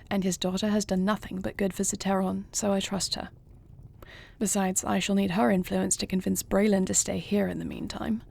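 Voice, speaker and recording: A woman playing a gracious, resolute dragon queen in an intimate audio roleplay, speaking to her spouse with measured, formal phrasing and soft affection. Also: mid-range voice, gravelly. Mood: measured, stately. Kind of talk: intimate audio roleplay acting, addressing the listener.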